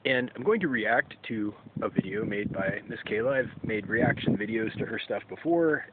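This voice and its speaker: A man in his forties talking to camera in a solo vlog, halting and hesitant, often restarting and clearing his throat. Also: fairly low pitch, expressive. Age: 40s. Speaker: YouTube creator. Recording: tinny phone-like sound with faint ambient noise.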